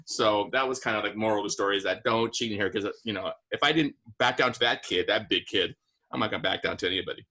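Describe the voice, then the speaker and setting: Low, bright-voiced man chatting. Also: quick pace. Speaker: podcast speaker, teacher. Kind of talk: casual chat.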